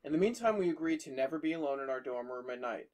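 Man reading a story aloud, slightly high. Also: lively intonation, fast, darkish tone. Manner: reads aloud and breaks off for jokey asides. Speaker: podcast host reading a horror story aloud. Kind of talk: casual story reading with banter.

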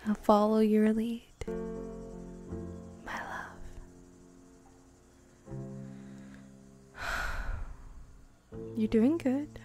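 A woman voicing a possessive, menacing young vampire bride in an intimate, teasing ASMR roleplay, breathy and often whispering. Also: on the high side, warm tone. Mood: tender, teasing. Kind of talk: ASMR girlfriend roleplay, improvised.

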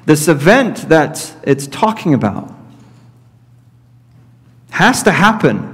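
A man in his thirties, a pastor preaching an expository sermon from a pulpit, teaching deliberately and repeating his key points. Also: fairly high pitch, big pitch swings. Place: church sanctuary at a pulpit. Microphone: fixed camera at middle distance. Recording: reverberant church hall.

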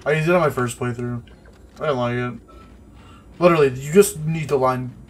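A man's voice: mid-range voice, fairly quick, slight background noise. A man playing a video game and commenting casually, in terse remarks with some swearing. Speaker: let's play co-host, playing the game. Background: horror game sound.

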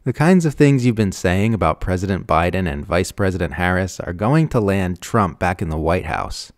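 An earnest young niece, acted by a male narrator, preachy and reproachful. The pitch moves around freely and the sound is close and dry, as in a read-aloud satire. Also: animated, moderate pace, no echo.

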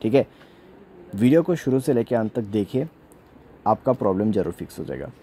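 A man's voice: fairly low pitch, lively intonation, fairly quick, mellow, slight background noise. A man giving a step-by-step phone troubleshooting tutorial as a voice-over in an instructional way. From India. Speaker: tech tutorial host.

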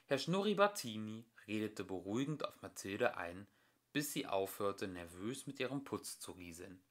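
A man's voice: lowish voice, big pitch swings, normal speed. A man narrating a children's storybook expressively, as an amateur audiobook reader.

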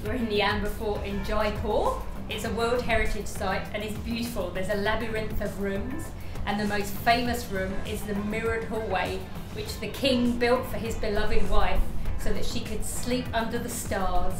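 A middle-aged woman in a mid-range voice narrates her travels to the camera with playful cheer. She speaks outdoors into a phone at arm's length, with music clearly behind her. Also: medium pitch, steady tone, moderate pace, rough recording. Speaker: travel vlogger. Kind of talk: casual travel vlog chat. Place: outdoors at historic sites. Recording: music under the voice.

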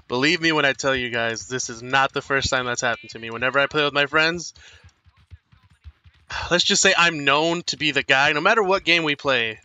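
A man's voice: mid-range voice, moderate pace, long pauses, pushed and bright. A young man with no accent commentating his game at home, rambling and apologizing as he tells self-mocking stories. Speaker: gaming streamer. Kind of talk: casual let's play commentary.

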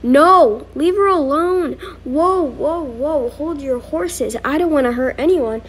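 An indignant cartoon animal fighter played by a woman speaks high and raised, full of threat. Faint noise sits behind the amateur recording. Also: takes it slowly. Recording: noisy amateur home recording.

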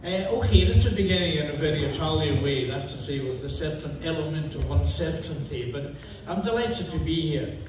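A man's voice: on the high side, expressive, brisk, hardly any pauses, noisy background. Older Scottish man telling wry anecdotes. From Scotland. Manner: wry, fluent storytelling. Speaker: author. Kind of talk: book talk anecdote. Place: bookstore event room. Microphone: far camera. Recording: echoey room from far off.

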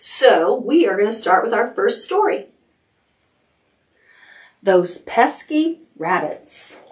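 A woman's voice: fairly high pitch, big pitch swings, slow pace, a little mellow, echoey room, distant mic. A middle-aged woman hosting a preschool storytime, speaking in simple, encouraging phrases and repeating herself for young listeners. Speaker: library storytime host for preschoolers. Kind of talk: children's storytime, instruction and read-aloud. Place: indoor room decorated with stuffed toys.

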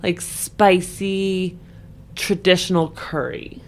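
A woman's voice: on the low side, fairly even intonation, slow pace, a little hiss or hum. A woman talking casually as a podcast guest, informal and thinking aloud. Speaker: podcast guest and game player. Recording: background music under the voice.

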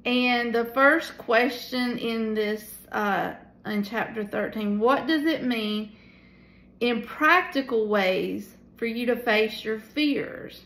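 A tired woman in her forties leading a casual Bible study from a small room at home, talking into a phone held at arm's length, with many pauses and fillers. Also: a little high, fairly bright tone, roomy sound, poor audio. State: tired at the end of the day. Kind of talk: casual devotional teaching. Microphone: phone camera at arm's length.

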